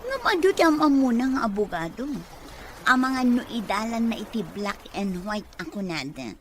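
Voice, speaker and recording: A woman's voice: fairly high pitch, very animated, moderate pace. A woman with a Filipino accent playing a cunning accomplice in a fraud plot, in an Ilocano radio drama. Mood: conspiring. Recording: noisy ambient background.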